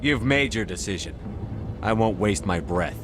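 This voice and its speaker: Man playing a firm, low-voiced military commander. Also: lively intonation, moderate pace, natural voice. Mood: resigned, curt. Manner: firm, blunt, controlled. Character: resolute human male military commander, game hero. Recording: music behind the voice.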